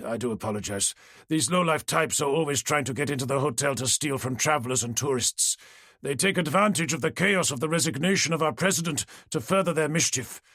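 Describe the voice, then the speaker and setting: A man's voice: a little high, a little fast, fairly bright tone, dry recording, clean studio sound. A man voicing a burly hotel chef who is stern with an intruder and fawning toward a guest. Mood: stern, pompous. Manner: stern, pompous, obsequious to guests.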